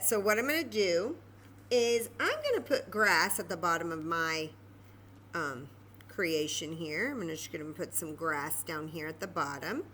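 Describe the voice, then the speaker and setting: A woman's voice: mid-range voice, sharp tone, a little hiss or hum. A woman hosting a children's library story time and craft lesson, explaining simply step by step in an informal, chatty way.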